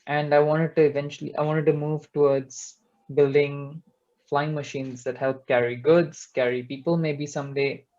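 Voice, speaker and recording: A man's voice: mid-range voice, darkish tone, muffled recording. A young man from India, a startup co-founder, speaking earnestly and reflectively on an online panel. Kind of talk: panel webinar talk.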